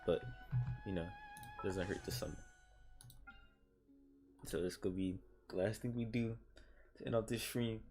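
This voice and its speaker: A man with a low-pitched voice gives casual livestream game commentary. He rambles and thinks aloud as he goes, with game music playing faintly behind him. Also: unhurried, long pauses, a little mellow. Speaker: game streamer.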